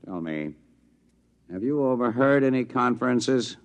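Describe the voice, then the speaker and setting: Hard-line general, medium-pitched, stiff and suspicious, interrogating style, for old-time radio satire. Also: male voice, expressive, unhurried. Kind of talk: 1950s radio drama acting.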